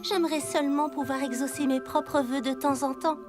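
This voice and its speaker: A woman voicing a sweet, wistful teenage fairy godmother in training for a cartoon dub, earnest and expressive. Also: sits high, normal speed, natural voice. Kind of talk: animated series dub acting. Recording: loud music behind the voice.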